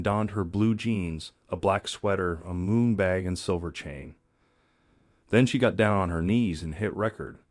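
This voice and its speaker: A man's voice: lowish voice, a little mellow, no room sound, studio quality. A man reading scripted story narration in a dramatic storyteller manner.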